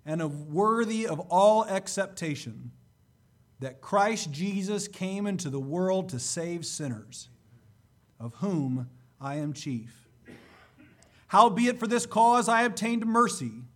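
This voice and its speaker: A man preaching a sermon and reading scripture, slightly hoarse, with a deliberate, emphatic delivery. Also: high-pitched.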